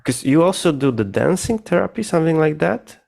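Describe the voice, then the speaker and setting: A man's voice: on the high side, big pitch swings, brisk, gravelly, darkish tone. A man who speaks English as a second language, interviewing casually and hesitantly, searching for his words. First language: not English. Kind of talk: casual conversation.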